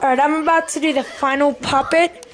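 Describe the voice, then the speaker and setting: A woman's voice: high voice, steady tone, fairly quick, noisy background. A woman casually hosting a homemade puppet show and putting questions to the people gathered. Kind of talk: informal presenting to a family gathering.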